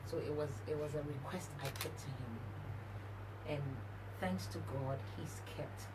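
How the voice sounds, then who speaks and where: A woman's voice: deep voice, a little slow. A middle-aged woman, a gospel singer guest, telling stories candidly and laughing often in a radio studio interview. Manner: laughs often, tells anecdotes with quoted dialogue. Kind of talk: candid, animated conversational storytelling. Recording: distant mic with audible background noise.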